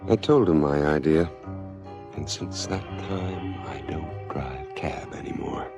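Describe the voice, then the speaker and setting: An actor playing a weary, disgraced professor turned sinister inventor, speaking with measured deliberation in a noir radio drama. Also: male voice, a bass voice, heavily acted. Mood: brooding, confessional. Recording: 1970s stereo radio drama, music underneath. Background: music.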